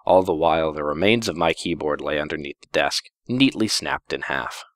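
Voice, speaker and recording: A man narrating a first-person story in a measured, deliberate, dramatic reading style. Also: rumbling low, no echo, studio quality.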